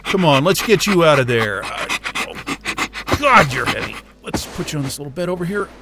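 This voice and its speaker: Sardonic, animated male astrophysicist. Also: on the high side, moderate pace, creaky, very noisy. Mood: concerned, weary. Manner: sarcastic, muttering, casual swearing. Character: sardonic male astrophysicist, exasperated but soft-hearted with a dog. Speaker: voice actor in an audio drama. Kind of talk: naturalistic science-fiction audio drama acting.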